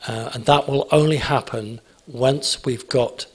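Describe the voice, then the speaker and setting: A man's voice: medium pitch, fairly even intonation, a little slow, breathy edge. A man speaking at a conference, emphatic and repetitive, answering audience questions. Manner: emphatic, repeats phrases, asks "okay?".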